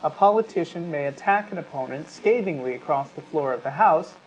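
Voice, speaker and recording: Higher-voiced man, dull noisy recording. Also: lively intonation, a little fast. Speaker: talk show co-host. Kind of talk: conversational commentary with passages read aloud. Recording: dull lo-fi audio with clear background noise.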